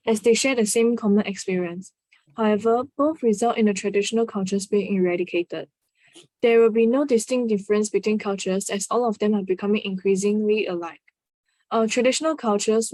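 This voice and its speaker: A female student reading a prepared answer aloud, steady and even. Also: medium pitch, dry recording, rough recording.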